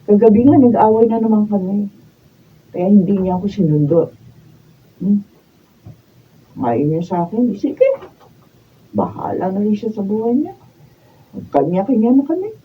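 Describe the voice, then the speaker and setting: A woman's voice: medium pitch, animated, moderate pace, pauses often, close to an everyday voice. A woman with a Filipino accent acting a neglected, unhappy wife falling for a friend in a radio drama. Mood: aggrieved, chatty. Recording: dull raw video, distant mic, faint noise.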